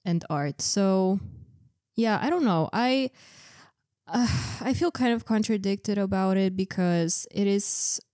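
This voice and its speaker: A woman's voice: mid-range voice, slow pace, darkish tone, dry recording, clean studio sound. A young woman co-hosting a podcast, chatting thoughtfully and saying "like" a lot. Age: young adult. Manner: chatty, reflective, uses "like" a lot. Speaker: podcast co-host. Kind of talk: casual chat.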